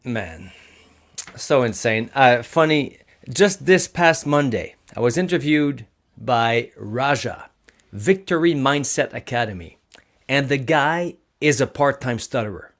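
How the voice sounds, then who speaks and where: A man's voice: medium pitch, lively intonation, slow, a bit bright. A man, a guest in an interview, telling a story with lots of energy and restarting his sentences often. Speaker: podcast guest, public speaking coach.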